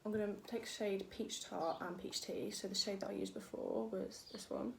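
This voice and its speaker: A young woman with a croaky voice, chatting casually through a makeup tutorial and making fun of herself as she works. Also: medium pitch, fairly even intonation, moderate pace. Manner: chatty, self-deprecating, talks while working. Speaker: beauty vlogger. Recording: echoey room with faint noise.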